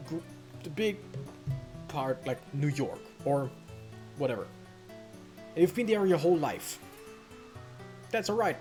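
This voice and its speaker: Halting, rambling gamer, a woman over music. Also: fairly low pitch, a little mellow. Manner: halting, rambling, thinking aloud. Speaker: let's player commentating a video game. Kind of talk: casual let's play commentary.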